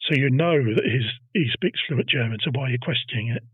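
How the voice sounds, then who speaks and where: A man's voice: medium pitch, quick pace, husky, no echo, narrow, tinny audio. A man hosting a casual history podcast, telling a story and teasing his co-host.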